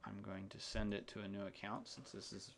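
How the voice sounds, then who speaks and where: A man giving an unscripted screencast tutorial in a halting, explanatory, step-by-step manner. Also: on the low side, little pitch movement, gravelly, slight background noise. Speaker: software demonstrator. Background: keyboard typing and mouse clicks.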